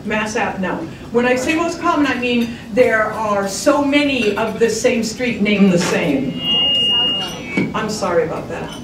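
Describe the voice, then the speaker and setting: Chatty news reporter in echoey hall. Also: female voice, medium pitch, animated, measured pace, a crowd in the background. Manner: chatty, self-deprecating, jokey. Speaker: TV news reporter giving a talk. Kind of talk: casual trivia talk to an audience. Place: museum hall with audience. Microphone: handheld microphone. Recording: echoey hall, mic at a distance.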